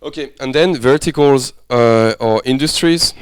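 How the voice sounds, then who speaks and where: Man with a French accent, smooth voice. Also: mid-range voice, measured pace, dry recording. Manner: friendly, conversational, checks understanding often. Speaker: workshop lecturer. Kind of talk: interactive workshop teaching. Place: workshop room.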